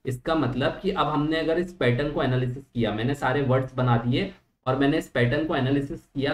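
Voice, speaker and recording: A young man teaching an online class in mixed with terms, patiently reassuring students and checking that they follow. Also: on the high side. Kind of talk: online lecture at a digital whiteboard. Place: small room indoors. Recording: roomy echo.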